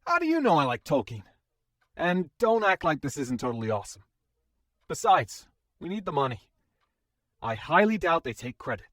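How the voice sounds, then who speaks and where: A man's voice: on the high side, very animated, normal speed, long pauses, fairly bright tone, no echo, studio quality. A man playing a polite, level-headed adventurer with formal wording in a fantasy audio drama. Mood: awed, excited.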